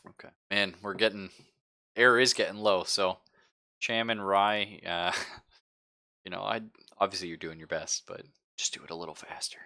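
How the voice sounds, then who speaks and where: A man acting as game master, narrating casually and haltingly as he thinks aloud. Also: low-pitched, fairly even intonation, bright, forceful tone. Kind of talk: casual narration of a tabletop roleplaying game, live stream.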